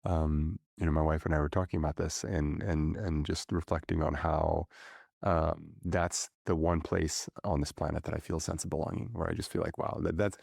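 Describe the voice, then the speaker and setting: A middle-aged man, a podcast guest who grew up speaking Russian, talking thoughtfully with many pauses and fillers. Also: extremely low pitch, little pitch movement, vocal fry, darkish tone, husky, no echo, studio quality. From grew up in many countries. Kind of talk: reflective conversational interview.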